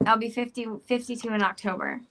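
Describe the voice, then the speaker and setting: A woman in her fifties who is a guest on a casual livestream and speaks softly. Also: fairly high pitch, fairly even intonation, fast, rough recording. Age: 50s.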